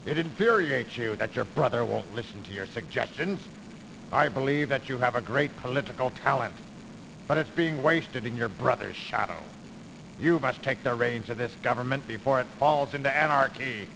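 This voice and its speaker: A man playing a crooked, persuasive industrialist in a video game, with a gravelly voice and insinuating, theatrical delivery. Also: mid-range voice, expressive, moderate pace. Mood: pressing, flattering. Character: crooked, smooth-talking industrialist man, calculating, persuasive schemer. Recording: noisy early CD game audio.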